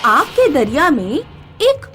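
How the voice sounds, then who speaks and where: Female narrator for children's moral tales: high-pitched, very expressive melody, unhurried delivery. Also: faint music behind. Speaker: storyteller. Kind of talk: scripted children's story narration with voiced dialogue.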